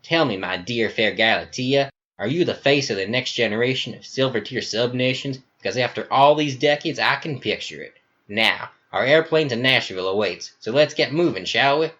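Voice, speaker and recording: A man's voice: medium pitch, animated, normal speed, a bit bright, muffled recording, voice pushed up, natural voice. A man voicing a flamboyant, theatrical middle-aged Southern governor, a gushing villain with florid, grandiose speech and an American Southern accent. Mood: grandiose, fawning.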